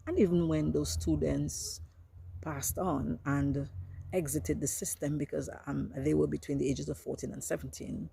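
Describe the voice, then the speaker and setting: An older woman from Dominica, a veteran teacher, earnestly and reflectively recounting her career in a measured way. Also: deep voice, steady tone, creaky, warm tone, slightly rough. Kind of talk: personal reminiscence.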